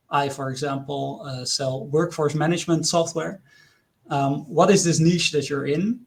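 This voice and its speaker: A man's voice: on the high side, creaky. A man from the Netherlands speaking English with a Dutch accent, giving an earnest online conference presentation with frequent hesitations and questions to the audience.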